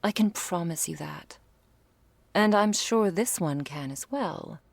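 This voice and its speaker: A woman playing a stern but devoted vampire lover in an ASMR roleplay, speaking in a formal, measured, affectionate way. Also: fairly low pitch, lively intonation, vocal fry, breathy edge, no echo, full character voice. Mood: tender, reassuring.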